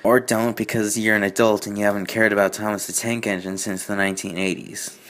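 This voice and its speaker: Male comedy reader and commentator, fairly deep, exasperated, pedantic, stumbling over his own asides. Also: flat delivery, a bit bright. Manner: sarcastic, nitpicking, halting. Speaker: host of fan fiction reading show.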